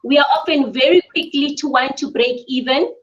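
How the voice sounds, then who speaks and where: Woman, high voice, earnest, measured, frequent ums, dull lo-fi audio. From South Africa. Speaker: spa business owner. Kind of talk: interview answers. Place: her mother's home.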